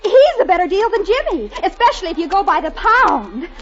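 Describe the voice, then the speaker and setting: A woman's voice: extremely high pitch, very animated, a little fast, a loud crowd. A woman playing a swooning, boy-crazy American college girl in a vintage comedy. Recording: muffled, noisy old broadcast, loud audience.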